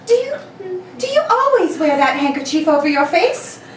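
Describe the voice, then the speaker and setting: A woman playing a nervous but plucky young single lady in a 1930s radio play, exclamatory and expressive. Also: high-pitched, normal speed. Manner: chatty, exclamatory, defiant when pressed. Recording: roomy, distant mic, faint noise.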